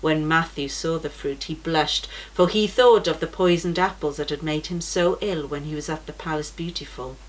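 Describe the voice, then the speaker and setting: Gentle woman reading a children's story. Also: low-pitched, moderate pace, hardly any pauses, low-quality recording.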